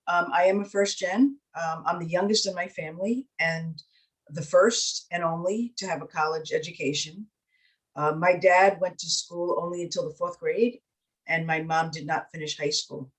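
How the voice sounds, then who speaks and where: A woman's voice: fairly low pitch, fairly even intonation, moderate pace, poor audio. New woman in her fifties, panel intro. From New York City, Puerto Rican heritage. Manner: warm, personal, with frequent "um". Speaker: university provost, panelist.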